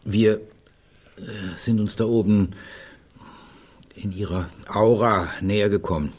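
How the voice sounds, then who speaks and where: Boozy sentimental artist guy in a german radio play, husky, slow, pompous. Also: lowish voice, fairly even intonation, darkish tone. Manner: pompous, florid. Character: effusive, sentimental, tipsy artist fond of toasts.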